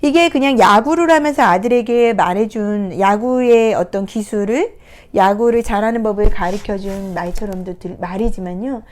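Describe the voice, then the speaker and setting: A woman counselor giving a reflective talk, retelling a picture book in a gentle, unhurried way with soft hesitations. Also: medium pitch. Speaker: counselor and coach. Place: recording her first video talk.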